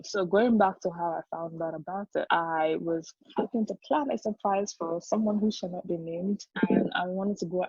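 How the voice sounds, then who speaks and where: A woman's voice: on the low side, breathy edge, no echo, highs cut off. A young woman from Nigeria with a Yoruba Nigerian accent, a podcast guest telling a story casually with lots of fillers. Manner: animated storyteller, many fillers, laughs often. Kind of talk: casual chat.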